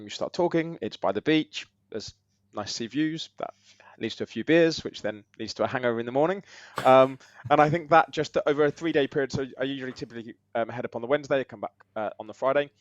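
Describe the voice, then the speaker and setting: Man, slightly croaky, relaxed guest, casual chat, muffled lo-fi audio. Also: mid-range voice, dry recording. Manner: relaxed, easygoing, frequent ums. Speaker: guest, technical SEO lead.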